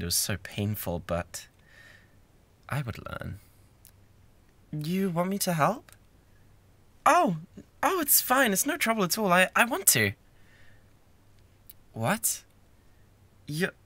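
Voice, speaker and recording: Guy acting a clingy unhinged british yandere student, asmr roleplay, slow and stammery, pitch jumps all over. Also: no room sound. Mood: quietly eerie, then nervous, eager. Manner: stammering, intense, swinging from soft pleading to outbursts. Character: bratty, obsessive, unhinged young male yandere classmate. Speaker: audio roleplay performer.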